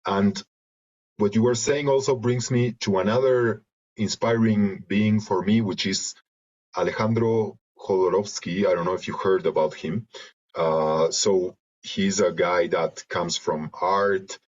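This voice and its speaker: A man from Argentina with a Spanish accent, reflecting in an interview in halting, searching phrases over a video call. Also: low voice, fairly even intonation, darkish tone. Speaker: interview guest, creative and therapeutic facilitator. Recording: dull lo-fi video call audio.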